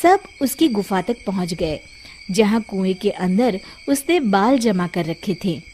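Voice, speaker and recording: A woman's voice: medium pitch, lively intonation, measured pace, darkish tone, a little hiss or hum. A woman narrating a scripted children's moral story as a storyteller. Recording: background music under the voice. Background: music.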